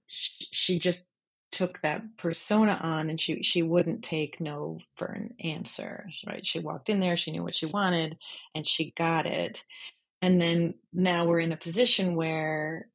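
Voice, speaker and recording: A woman's voice: low-pitched, husky. A woman speaking as an interview guest, in a conversational and reflective style. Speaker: interview guest, freelance journalist.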